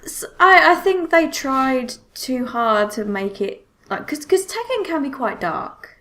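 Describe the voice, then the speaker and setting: A woman hosting a podcast in casual chat, halting and repeating words for emphasis. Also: high voice, sing-song, heavy background noise. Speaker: podcast host.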